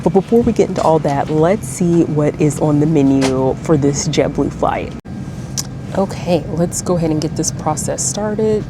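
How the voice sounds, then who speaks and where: A chatty woman talks to her viewers in a low voice that has a slight throat tickle, calling them y'all. She is recording on a handheld phone from her airplane seat. A steady cabin hum sits clearly under her voice. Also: moderate pace. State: slight throat tickle, a bit deeper than usual. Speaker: travel vlogger. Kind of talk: casual vlog chat to camera.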